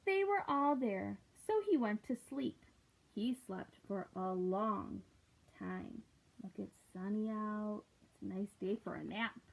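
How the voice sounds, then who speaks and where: A woman reading a picture book aloud to children, gently asking them questions along the way. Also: medium pitch, expressive, slow, creaky. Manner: gentle, asks listeners questions. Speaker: read-aloud storyteller. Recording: tinny lo-fi recording.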